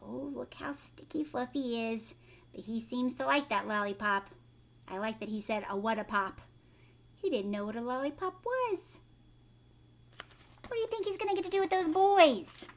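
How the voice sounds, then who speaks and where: A woman reading a children's story aloud like a teacher, expressively, pausing to ask her young listeners questions. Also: high-pitched.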